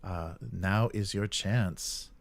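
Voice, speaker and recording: A man co-hosting a gaming news show, casually running through items in a halting, hesitant way. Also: deep voice. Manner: halting, hesitant delivery while presenting. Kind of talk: casual news rundown and chat.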